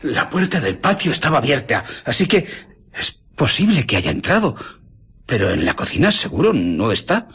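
Husky, jittery tavern keeper. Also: male voice, mid-range voice, normal speed, exaggerated voice. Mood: anxious. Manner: nervous, excitable. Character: jittery, fearful, excitable male tavern keeper. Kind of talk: radio drama acting.

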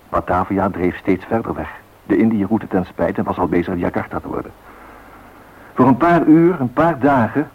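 A husky, lowish male voice narrates a radio documentary in measured, literary sentences. The sound is narrow and phone-like, as from a radio.

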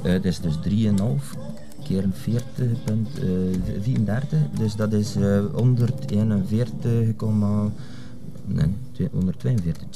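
Fumbling, lowish-voiced Flemish waiter. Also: male voice, a little slow, vocal fry, music in the background. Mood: flustered. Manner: hesitant, fumbling, chatty. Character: flustered, obliging male café waiter. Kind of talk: naturalistic TV comedy-drama acting.

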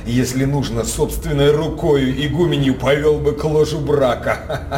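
An actor playing a blunt, loyal mercenary knight in a theatrical radio drama, declaiming with soldierly emphasis. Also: male voice, medium pitch, steady tone, moderate pace, a little mellow, natural voice. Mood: boastful. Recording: roomy stage sound with noisy background.

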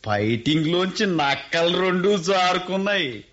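An actor playing a shrewd, earthy middle-aged village landowner in a radio play, with colloquial coastal Andhra speech. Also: male voice, sits high, animated, measured pace, fairly bright tone. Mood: sly, amused. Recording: dull lo-fi radio recording.

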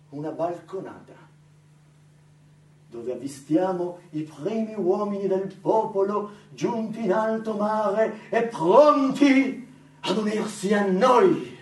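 A man on stage playing a vain, pompous nineteenth-century general obsessed with his own legend, declaiming grandly and theatrically. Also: sits high. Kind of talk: live stage monologue theatre. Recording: echoey theatre, distant mic, noisy.

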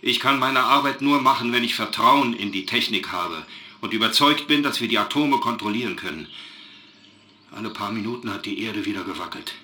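Sharp-voiced, earnest male eyewitness engineer. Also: lowish voice. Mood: anxious, controlled. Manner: earnest, halting, precise recounting. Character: shaken but factual German engineer, male eyewitness by phone. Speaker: eyewitness interviewee. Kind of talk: radio drama news interview. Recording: noisy live news link.

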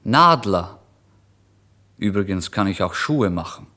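A man's voice: deep voice, animated, slow pace, pushed and bright, heavily acted. An actor voicing an old, hungry male beggar in a literary radio drama, pleading and terse. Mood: insistent.

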